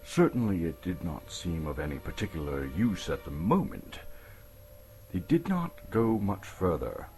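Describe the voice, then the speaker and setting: A man narrates a story in a deep, slow voice. His delivery is theatrical and suspenseful, rising and falling widely, with emphatic pauses. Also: creaky, a little mellow. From Canada. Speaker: actor reading an audiobook.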